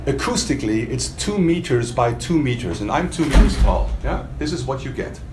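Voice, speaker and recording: The recording is echoey, with faint background noise, as in a hotel demo room. A man with a mid-pitched voice presents a product to a small audience. He speaks spontaneously and emphatically, often putting questions to his listeners. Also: lively intonation, moderate pace. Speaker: product demonstrator for a loudspeaker maker.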